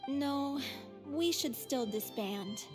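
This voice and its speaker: A gentle young stage actress of delicate health in a video game. The voice is high and slow, with clearly audible music behind it. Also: female voice, lively intonation. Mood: gentle, resigned.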